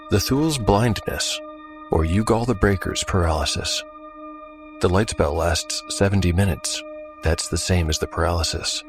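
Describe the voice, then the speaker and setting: A man narrating a tabletop role-playing game as a dramatic story, calling out the dice rolls in a theatrical way. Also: low voice. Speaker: solo game narrator and player.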